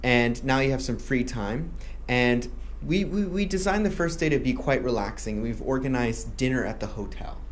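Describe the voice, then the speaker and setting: Man, raised in Malaysia, tour host, promo Q&A, friendly explaining, mid-pitched voice. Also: expressive, normal speed, a little hiss or hum. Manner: friendly explaining, with a few restarts.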